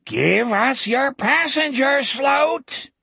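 A hideous, taunting troll creature from an audiobook. It howls hoarsely at a high pitch, stretching and swooping through its menacing calls. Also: male voice, animated, no echo, exaggerated voice. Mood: menacing taunts. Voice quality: hoarse, howling. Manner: drawn-out taunting shouts. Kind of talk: dramatic audiobook character voice.

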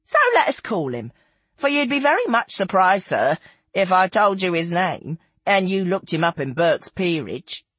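A woman's voice: lowish voice, very animated, normal speed, breathy edge, no room sound. A woman voicing a flamboyant, worldly showgirl, gossipy and mock-genteel in an audiobook story.

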